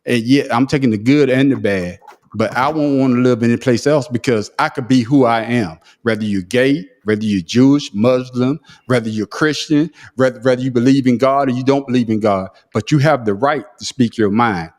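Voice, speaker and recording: A man's voice: medium pitch, normal speed, darkish tone. A man hosting a casual podcast, arguing emphatically and restarting his sentences often. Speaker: podcast host. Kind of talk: heated casual debate.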